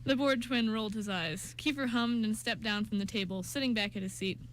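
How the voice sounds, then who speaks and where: A woman's voice: on the high side, brisk, a bit bright, slight background noise, low-quality recording. A woman reading scripted story narration for an amateur radio show, with an occasional stumble.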